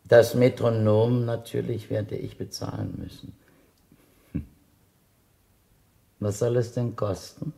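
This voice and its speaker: A man's voice: lowish voice, steady tone, slow, gravelly, long pauses, mellow. An actor playing a polite, persistent blind man with a guide dog in a radio play, courteous and hesitantly apologetic. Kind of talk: German radio play acting. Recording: reverberant stairwell acoustic.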